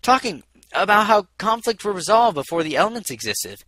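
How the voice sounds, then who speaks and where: A man's voice: high voice, very animated, brisk, bright, forceful tone, a little hiss or hum, voice pushed up, full character voice. A man voicing a nervous, bookish young female unicorn from a storybook, hesitant and earnest.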